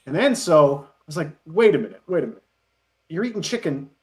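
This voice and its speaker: Higher-pitched man telling casual anecdotes. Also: brisk. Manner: animated storyteller who acts out quoted dialogue. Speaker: interview guest, web parody creator.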